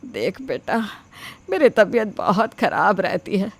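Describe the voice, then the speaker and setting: A woman playing a scheming, manipulative older mother in an animated moral story, theatrical and scolding. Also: medium pitch, a little slow, creaky, no room sound, full character voice. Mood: guilt-tripping. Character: scheming, melodramatic ageing mother nagging her son to marry.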